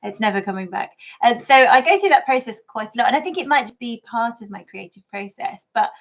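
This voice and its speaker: A woman's voice: a little high, animated, a little fast. An English woman co-hosting a podcast livestream, speaking candidly and with self-deprecating humor, often laughing. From England. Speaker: podcast co-host and writer. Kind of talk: casual livestream chat.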